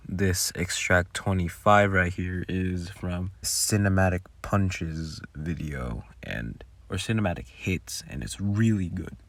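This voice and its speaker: A man's voice: low-pitched, no room sound. A man giving casual behind-the-scenes commentary on his own audio work in a rambling, informal way. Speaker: audio roleplay creator.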